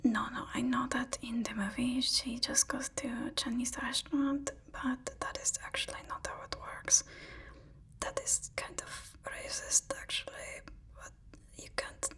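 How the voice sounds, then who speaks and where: Woman, ASMR whisper, very breathy, mellow, hesitant and deferential, meek villain's assistant role-play. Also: on the high side, steady tone, a little slow. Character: timid, apologetic, flattering personal assistant to a supervillain. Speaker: ASMR performer playing a villain's personal assistant.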